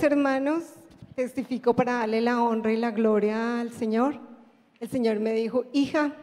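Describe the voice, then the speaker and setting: Very high-voiced woman, echoing hall. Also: warm tone. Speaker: church member giving testimony. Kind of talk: narrative religious testimony. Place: large church hall.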